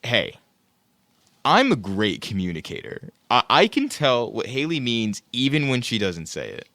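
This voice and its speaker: A man's voice: mid-range voice, wildly swooping pitch, measured pace, bright, forceful tone, no room sound, exaggerated voice. A man playing a cocky, clueless young guy in an audio play, with a casual, self-justifying way of talking. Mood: defensive, flustered. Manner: casual, stammering when defensive.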